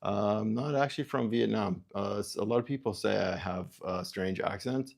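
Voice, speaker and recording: Low gravelly-voiced man musing on a livestream. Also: darkish tone. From Canada. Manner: halting, self-interrupting, musing aloud; reads viewer comments aloud. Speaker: livestream host. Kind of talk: rambling livestream chat.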